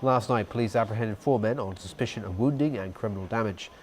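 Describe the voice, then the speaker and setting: A man reading the evening news as anchor, in a steady, formal delivery. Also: on the low side, quick pace, a little hiss or hum.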